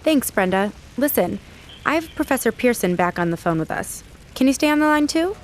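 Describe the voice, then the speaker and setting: A woman's voice: fairly high pitch, big pitch swings, fairly quick, slight background noise. A woman playing an eager, attentive studio news anchor questioning her guests in a radio drama. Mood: attentive, curious.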